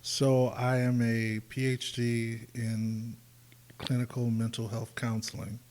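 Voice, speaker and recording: Flat-toned counselor in his sixties. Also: medium pitch, slow pace. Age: 60s. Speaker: mental health counselor. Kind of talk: panel discussion.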